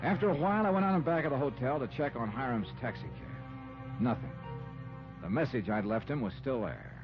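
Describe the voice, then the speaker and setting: An American man acting a brisk, wry hard-boiled investigator in a 1950s radio detective drama. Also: medium pitch, lively intonation, music in the background, close to an everyday voice. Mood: wry narration. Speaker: lead actor and narrator. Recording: dull vintage radio recording.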